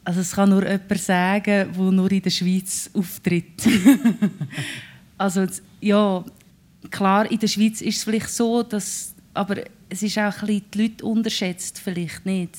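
A woman's voice: mid-range voice, flat delivery, unhurried, darkish tone. A singer-songwriter woman with a Swiss German accent, talking thoughtfully about her songwriting in an interview. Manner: thoughtful, searching for words. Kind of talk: casual radio interview talk.

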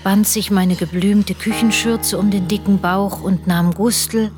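A woman's voice: medium pitch, steady tone, unhurried, music in the background, lightly acted. An actress playing a wry, disapproving middle-aged wife and mother who narrates a family radio play in a dry, mocking way. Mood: wry storytelling.

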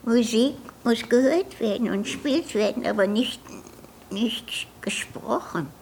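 An elderly woman being interviewed, reminiscing in short, halting phrases. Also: on the high side, vocal fry, a little mellow, a little hiss or hum. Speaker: interviewee, pianist. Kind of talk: reminiscing in conversation.

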